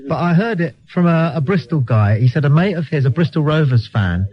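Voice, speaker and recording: A man's voice: fairly high pitch, expressive, fairly quick. An English man hosting a live radio phone-in, bantering and telling anecdotes in a cheeky, teasing, sardonic way. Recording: dull lo-fi radio broadcast recording.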